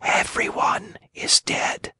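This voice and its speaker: A man voicing a frail, ghostly dead maiden in a fairy tale, in a weak, breathy, lifeless whisper. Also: bright, forceful tone, dry recording, studio quality. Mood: lifeless, eerie. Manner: slow, lifeless.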